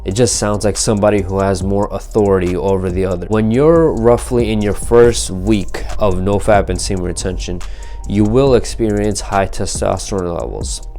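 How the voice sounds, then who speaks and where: A man's voice: on the low side, darkish tone. A young man giving an earnest, lecturing self-help monologue about his own experience. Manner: earnest, lecturing, personal testimony. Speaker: video creator.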